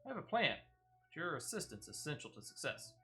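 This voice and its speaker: A man's voice: fairly high pitch, very animated, brisk, sharp tone, faint music behind. A man voicing a stern, dutiful guard officer from a fantasy video game, with formal and earnest phrasing. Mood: stern, resolute. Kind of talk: video game dialogue read aloud.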